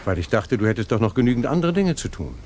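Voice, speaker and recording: An actor playing a nervous, evasive husband in a radio drama, dismissive and defensive. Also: male voice, medium pitch, sing-song, fast talker, darkish tone, slight background noise, close to an everyday voice.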